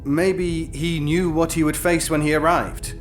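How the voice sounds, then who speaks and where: Actor as a thoughtful mayor, fairly high male voice, hesitant, inquisitive, sci-fi audio drama, music behind. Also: fairly even intonation, measured pace, lightly acted. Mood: pondering. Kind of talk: full-cast audio drama acting.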